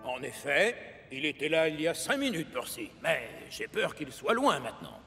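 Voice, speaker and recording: A man voicing a lively, hearty machine in a French-dubbed children's cartoon. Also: a little high, moderate pace, vocal fry, soft background music, full character voice. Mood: apologetic.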